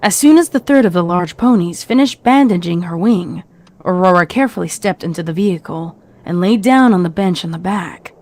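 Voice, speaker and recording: Lady narrator, lowish voice, reading a story script, measured and dramatic. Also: animated, a little mellow.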